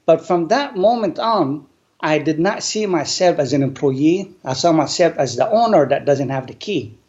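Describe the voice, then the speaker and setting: A man, an English speaker, telling his life story earnestly and deliberately as an interview guest. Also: fairly high pitch, animated, a little mellow. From Lebanon. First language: not English. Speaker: guest, business owner. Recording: dull lo-fi sound.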